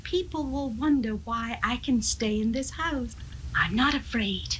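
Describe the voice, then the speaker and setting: An actress plays a brooding Victorian spinster in a radio drama, strong-willed and cold. Her voice is sharp and rather high, with a faint hiss from the seventies recording. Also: unhurried, rough recording. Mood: entranced, cold. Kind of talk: 1970s live radio drama acting.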